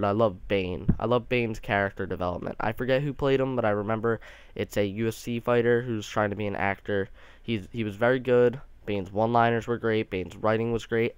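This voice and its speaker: A man's voice: lowish voice, clean tone, no echo. A young man giving a casual, rambling movie review, sounding a bit nasal from allergies, with many restarts.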